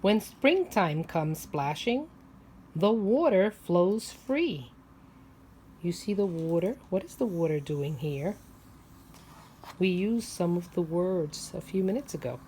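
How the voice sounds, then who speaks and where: Slow-paced woman teacher reading to small children. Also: fairly low pitch, animated, a little mellow, slight background noise. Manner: simple explaining, questioning, drawn-out emphasis on key words. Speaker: preschool teacher. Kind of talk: picture-book read-aloud for young children, asking questions.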